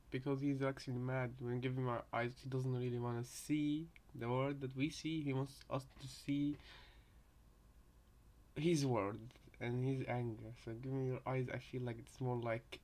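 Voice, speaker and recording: A man talks casually as he reacts on a livestream. His voice sits at a middle pitch, and his delivery is hesitant and rambling as he works out his thoughts. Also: little pitch movement, unhurried. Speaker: streamer. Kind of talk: casual live reaction commentary.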